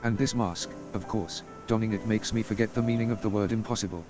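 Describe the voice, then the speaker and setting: A man's voice: on the low side, fairly even intonation, brisk, natural voice. An actor playing a timid young male adventurer, speaking earnestly and hesitantly, with game music behind him. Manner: earnest, hesitant, self-reproaching. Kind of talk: game voice acting.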